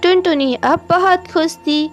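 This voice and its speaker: Female storyteller for children's cartoons: high voice, measured slow reading, light music bed. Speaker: narrator. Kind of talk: scripted children's story narration. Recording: music behind the voice.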